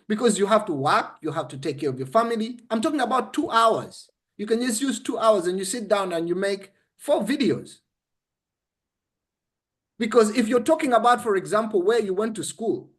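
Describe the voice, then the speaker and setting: Ugandan male, high-pitched for a man. Conversational coach, instructive and emphatic, checks the listener follows. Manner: emphatic, instructive, checks understanding with short questions. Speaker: interview guest explaining a method.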